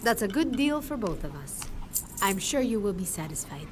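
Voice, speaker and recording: A courteous female trader from a video game, voiced by an actress. She sounds assured and salesmanlike, with a very animated, mid-pitched voice and clear noise around her. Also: moderate pace, close to an everyday voice. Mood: pleased, assured. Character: courteous trading merchant woman. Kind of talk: video game merchant lines.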